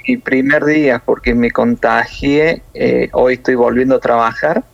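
A man from Córdoba with a Cordoban Argentine accent, a nurse explaining things in a measured way with many hesitations over a phone interview. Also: mid-range voice, fairly even intonation, gravelly, dry recording, lo-fi sound. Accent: Cordoban Argentine Spanish.

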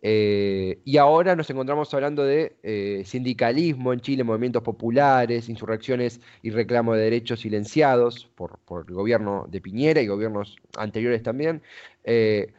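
A man's voice: mid-range voice, normal speed, a little mellow, smooth. Argentine guy doing an interview, kinda wordy n hesitant, muffled lo-fi audio. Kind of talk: conversational radio-style interview.

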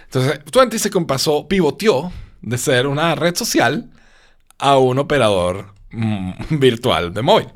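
A man's voice: mid-range voice, animated, unhurried. A sleepy man from Venezuela with a Venezuelan accent, hosting a podcast and telling a rambling story full of little tag questions. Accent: Venezuelan Spanish. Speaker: podcast host. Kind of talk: casual podcast chat.

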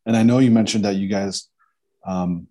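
Upbeat deep-voiced male host. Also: steady tone, normal speed, warm tone. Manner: upbeat, encouraging, uses fillers like "you know". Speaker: interview show host. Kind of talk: conversational interview hosting.

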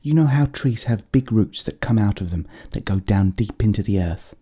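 A man's voice: on the low side, lively intonation, normal speed, warm tone, slightly rough. A man voicing a small, friendly magical teddy bear in a children's story, speaking politely and soothingly.

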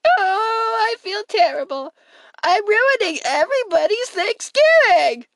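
Lady doing a sobby guilty little girl, high and whiny, slow wailing. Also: very animated, fairly bright tone, full character voice. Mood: weepy. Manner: sobbing, self-pitying wails. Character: weepy, guilt-ridden young girl. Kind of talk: homemade cartoon voice acting.

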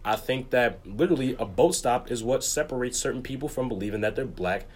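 A man's voice: mid-range voice, animated, a little fast, husky. A man hosting a casual conversation, agreeable and restating his points as he builds them.

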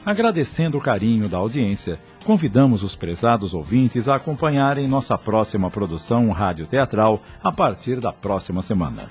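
Male Brazilian radio serial narrator-announcer: lowish voice, animated intonation, formal, theatrical, scripted. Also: moderate pace, hardly any pauses, faint music behind.

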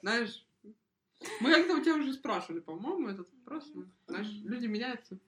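A young woman from Ukraine co-hosting a podcast, talking in a relaxed, rambling way and laughing a lot. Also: fairly high pitch, brisk, slight background noise. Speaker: podcast co-host. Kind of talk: casual chat.

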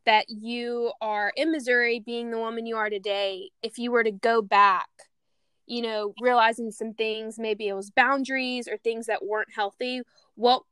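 A woman's voice: on the high side, steady tone, moderate pace, clear, resonant tone, no room sound. A woman from Louisiana, hosting a podcast in a bubbly, gushing, casual chat. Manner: bubbly, gushing, warm enthusiasm. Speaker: podcast host.

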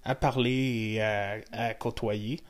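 A man's voice: on the low side, fairly even intonation, slow. A man with a Québécois accent hosting a podcast, talking casually with many hesitations and some teasing jokes. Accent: Québécois French. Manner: hesitant, full of fillers, joking. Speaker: podcast host. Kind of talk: casual interview chat.

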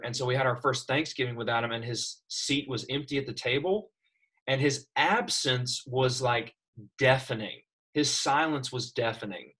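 A man's voice: fairly high pitch, slightly rough. A man teaching a classroom Bible lesson, asking his students questions as he explains. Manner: animated, asks students questions.

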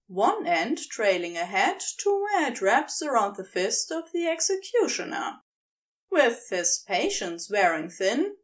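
A woman reading a scripted story aloud as narrator, with expressive, measured storytelling. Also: on the high side, distant mic. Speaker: podfic reader.

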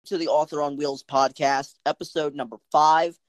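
Male podcast host, earnest formal delivery, soft music underneath. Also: a little high, little pitch movement, moderate pace. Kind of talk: scripted intro, then interview questions.

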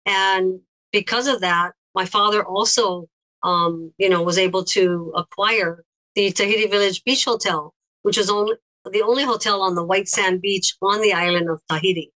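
A woman guest with a slight foreign accent, recalling memories in a gentle, unhurried way with pauses. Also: mid-range voice, fairly even intonation, poor audio. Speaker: interview guest. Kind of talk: recollecting in conversation.

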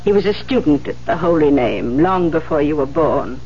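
A stern older Mother Superior in a vintage radio drama. Her voice is low for a woman, with a dry, wry authority. The old recording is dull. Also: expressive, normal speed, warm tone, a little hiss or hum. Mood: dry, businesslike. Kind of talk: 1970s radio drama acting.

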